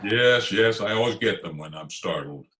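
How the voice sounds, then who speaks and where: A man's voice: on the low side, expressive, normal speed, background noise, natural voice. A man playing a flustered, pompous middle-aged school principal in old-time radio comedy style, blustering and indignant. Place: indoors, recorded remotely over video call. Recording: roomy remote video-call recording.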